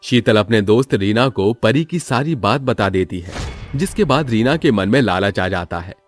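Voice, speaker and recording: A man's voice: fairly low pitch, expressive, normal speed, no echo, soft background music. A man narrating a scripted moral story as the storyteller.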